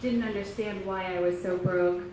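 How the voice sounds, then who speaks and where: A woman from Kentucky in her thirties, an author speaking softly and haltingly to a library audience, with many pauses and ums. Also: mid-range voice, steady tone. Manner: soft-spoken, halting, frequent ums. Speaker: author giving a library talk. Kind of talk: casual talk to an audience. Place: public library meeting room. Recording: echoey room, faint noise.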